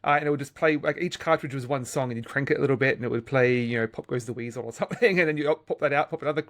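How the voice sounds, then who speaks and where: A middle-aged man chatting casually as a podcast host, explaining things in a halting way and repeating words as he goes. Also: mid-range voice, a bit bright. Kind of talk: casual gaming podcast chat.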